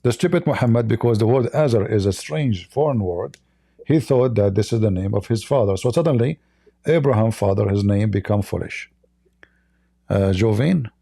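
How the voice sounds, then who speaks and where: A gravelly, dark-toned man hosts a live call-in debate. His first language is Arabic, and he argues in a mocking, combative way. The recording is dry and close. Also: on the low side, moderate pace, no echo. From Middle East. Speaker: host.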